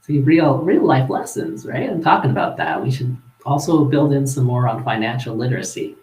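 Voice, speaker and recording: Canadian male guest, relaxed storyteller with a chuckle, echoey room. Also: mid-range voice, moderate pace. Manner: relaxed, chuckling, with frequent fillers and restarts. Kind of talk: casual storytelling in a live-streamed interview.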